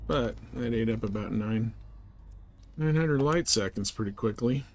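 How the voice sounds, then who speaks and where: A man's voice: medium pitch, a little slow, a little mellow. A man livestreaming a game, talking casually with muttered asides and the odd swear word. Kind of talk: casual gaming commentary.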